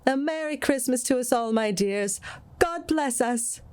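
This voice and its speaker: A woman's voice: high voice, lively intonation, a little slow, darkish tone, clean tone, voice pushed up. A woman playing a cheerful, tender family father in a storybook, speaking in hearty exclamations. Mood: joyful.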